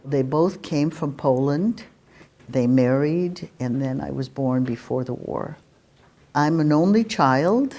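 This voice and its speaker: A woman in her eighties from Belgium, recalling her early childhood in a soft, matter-of-fact way. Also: deep voice, expressive, takes it slowly. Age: 80s. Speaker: Holocaust survivor interviewee. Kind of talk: recounting childhood memories.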